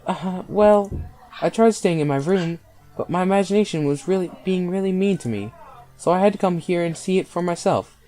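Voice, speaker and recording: A man's voice: sits high, moderate pace, mellow, soft background music, voice pushed up, close to an everyday voice. A man voicing a sweet, tearful, self-blaming teenage schoolgirl in a visual novel, hesitant and pleading. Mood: sheepish.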